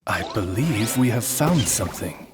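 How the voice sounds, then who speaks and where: A man voicing a courteous male raven who serves as a formal butler in a video game, measured and polite. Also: fairly low pitch, animated, music in the background, natural voice.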